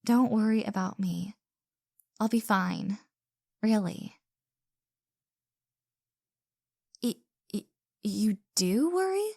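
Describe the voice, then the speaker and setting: An actress plays a caring young woman who is shyly smitten, in an intimate audio roleplay. She takes her time, stammering softly and earnestly, with some vocal fry. The recording is close and dry. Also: mid-range voice, sing-song, slow pace, no echo, heavily acted. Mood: earnest, flustered. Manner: stammering, hesitant, soft and earnest. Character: flustered, caring young woman hosting her secret crush.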